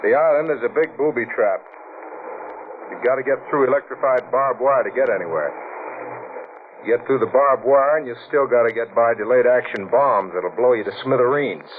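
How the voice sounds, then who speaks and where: An actor playing a cool, sardonic Coast Guard lieutenant in a 1940s radio thriller, with a dry, unhurried delivery. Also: male voice, mid-range voice, natural voice. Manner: dry, unhurried, hesitant pauses. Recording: tinny, hissy 1940s radio recording.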